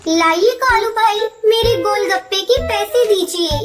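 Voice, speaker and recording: A woman's voice: extremely high pitch, unhurried. A woman voicing a small, chatty female bird who sells street food, with a polite, pleading singsong manner in a children's cartoon. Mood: insistent. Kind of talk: children's cartoon story dialogue. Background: music.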